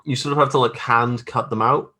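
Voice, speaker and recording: A man's voice: medium pitch, steady tone, brisk, pushed and bright. A man chatting casually as an interview guest, informal, wry and self-deprecating about his own work as a graphic artist.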